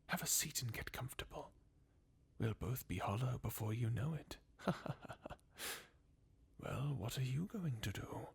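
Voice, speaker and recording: A performer voicing a jaded, sardonic undead warrior from a fantasy video game, in a breathy, husky half-whisper with a weary, mocking manner. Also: mid-range voice, lively intonation, a little slow, long pauses, exaggerated voice. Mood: bleakly mocking. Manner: sardonic, weary, chuckling bleakly. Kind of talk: fan redub of video game dialogue, ASMR-like.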